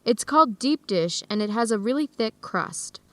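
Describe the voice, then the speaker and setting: An actress playing a friendly, even-tempered American woman who explains things in a measured way in an educational radio drama. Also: on the high side, no room sound. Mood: informative. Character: friendly American woman hosting Ethiopian friends, easygoing, tolerant. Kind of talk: educational radio drama dialogue, clearly worded for learners.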